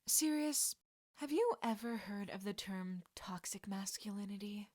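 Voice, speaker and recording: A woman voicing a confident teenage witch girl in a story, bossy but caring and quick-witted. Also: medium pitch, lively intonation, darkish tone. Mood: matter-of-fact. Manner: firm, casual, non-judgmental.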